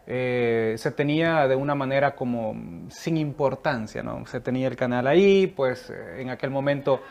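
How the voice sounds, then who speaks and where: A Salvadoran man talks as a guest in an easygoing conversation. His voice sits at an ordinary middle pitch, and he speaks earnestly, pausing on fillers as he thinks. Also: creaky, darkish tone, muffled recording. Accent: Salvadoran Spanish. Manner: earnest, thoughtful, many fillers. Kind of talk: casual conversation.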